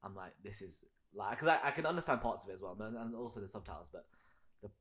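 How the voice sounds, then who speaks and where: Rambling, fast-talking male podcast host. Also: on the low side, animated, fairly bright tone. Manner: rambling, many fillers and restarts, searches for words. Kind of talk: casual podcast conversation.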